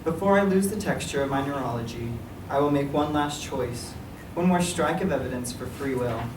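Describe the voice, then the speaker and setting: A man reads his writing aloud in a measured, even voice at a middle pitch. He sounds distant from the mic, the room echoes around him, and a clear hiss of noise sits underneath. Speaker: writer reading his work. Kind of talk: literary reading. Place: library meeting room.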